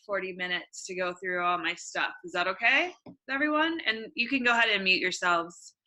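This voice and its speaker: A woman hosting an online workshop over a video call, chatty and relaxed with casual fillers. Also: medium pitch, rough recording. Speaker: workshop host.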